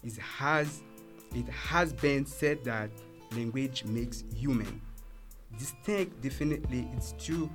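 A young man from Haiti with a Haitian accent, a native Creole speaker, reading out his own story as an English learner in a measured, careful way. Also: mid-range voice, fairly bright tone, faint music behind. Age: young adult. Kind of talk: reading a prepared personal story.

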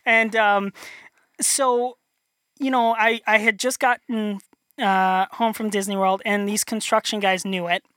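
A young man from North Dakota with a high voice, chatting as an interview guest, rambling and self-deprecating with many restarts. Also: little pitch movement, measured pace, sharp tone, no room sound. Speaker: illustrator guest. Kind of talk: casual interview chat.

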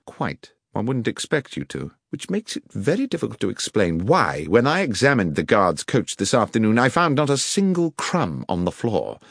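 A man voicing a sharp, commanding middle-aged detective who asks precise questions, in an audiobook reading. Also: lowish voice, huge pitch swings, normal speed, hardly any pauses, no echo, clean studio sound, voice pushed up, exaggerated voice. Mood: probing. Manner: crisp, precise, probing questions.

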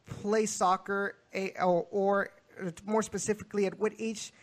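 A man's voice: high voice, little pitch movement, a little slow. A man hosting a soccer show, interviewing a guest in a conversational, somewhat hesitant and wordy way.

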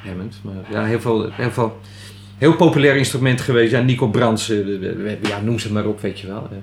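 A gravelly-voiced man chats casually and hesitantly. The room is echoey, with faint noise behind him. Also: on the low side, a little fast. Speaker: musician, interviewee. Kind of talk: casual chat.

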